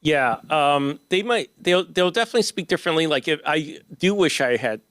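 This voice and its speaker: A man's voice: fairly high pitch, animated, a little fast, a bit bright, no echo, studio quality. A man, an author, answering audience questions at a book talk in a loose, conversational way with many fillers and restarts. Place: event hall.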